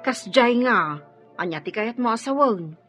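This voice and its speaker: An actress playing a gossipy, opinionated household helper in a radio drama, with a husky voice. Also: female voice, on the high side, animated, a little slow, fairly bright tone. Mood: disapproving. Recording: muffled lo-fi radio drama with music.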